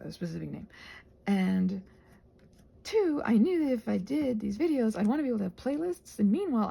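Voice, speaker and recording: A woman in a casual, rambling ASMR vlog, soft-spoken and self-deprecating, thinking aloud in a meandering way and sometimes dropping toward a whisper. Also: medium pitch, vocal fry, husky. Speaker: ASMR creator rambling while crafting.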